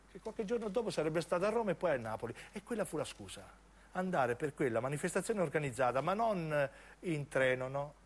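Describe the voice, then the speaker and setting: A man narrates as a stage actor, in intense, deliberate phrases of dramatic radio storytelling. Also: a little high. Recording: piano music under the voice. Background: piano and keyboard music.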